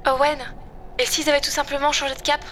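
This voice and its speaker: A woman's voice: high-pitched, monotone, fast talker, a bit bright, slight background noise. A woman playing a polite, composed young female AI companion in a science-fiction audio drama, speaking precisely. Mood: alert.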